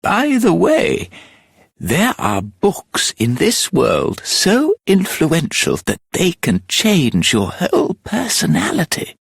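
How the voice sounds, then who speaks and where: Eccentric old game mystic: very slow, whimsical drawl, pitch swooping wildly from high to low. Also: male voice, huge pitch swings, gravelly, husky, dry recording, clean studio sound, heavily acted. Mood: advising, dismissive. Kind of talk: dramatic fantasy video game voice acting.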